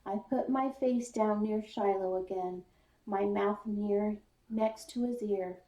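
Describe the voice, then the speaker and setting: A woman reading a children's novel aloud in first person, with deliberate, expressive storytelling. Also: medium pitch. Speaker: audiobook reader, school speech paraprofessional. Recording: echoey room, slightly distant mic.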